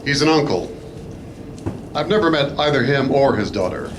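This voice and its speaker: A man playing a calm, authoritative adventure hero in a radio play, measured, formal and dryly understated. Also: mid-range voice, close to an everyday voice. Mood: calm, serious. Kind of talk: old-time radio play acting. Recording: noisy live convention stage.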